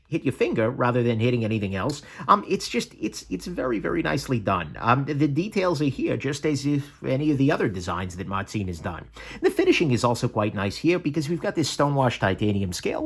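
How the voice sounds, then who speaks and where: A man hosting a casual knife review, chatty and self-correcting, with frequent ums and stammered restarts. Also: husky. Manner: lively, swinging pitch between upbeat and low asides. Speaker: knife reviewer, channel host. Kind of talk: casual product review to camera.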